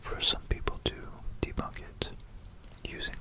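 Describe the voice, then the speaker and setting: A person whispering an informal, musing history talk for ASMR, correcting themselves along the way, close to a stereo microphone. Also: normal speed. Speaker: ASMR video creator. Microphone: close stereo binaural microphone.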